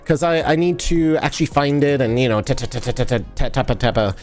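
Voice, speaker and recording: A man's voice: mid-range voice, brisk, a little mellow, soft background music. A man streaming live, still sniffly from a cold, chatting in a rambling way and answering viewers' comments as he goes. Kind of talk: casual live-stream chat while editing.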